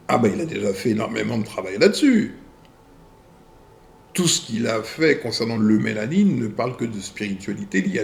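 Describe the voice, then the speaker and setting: A man with a rough, gravelly edge to his voice reads viewer letters aloud on his channel and answers them. His pitch rises and falls a lot. He talks slowly and carefully, in short clipped phrases broken up by pauses. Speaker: video channel host.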